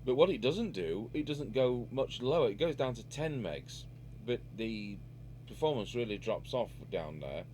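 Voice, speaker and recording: A man's voice: medium pitch, animated, moderate pace, dark tone, slight background noise. A man giving a casual, unscripted hands-on repair demonstration, musing aloud as he works. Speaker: radio repair hobbyist and video presenter.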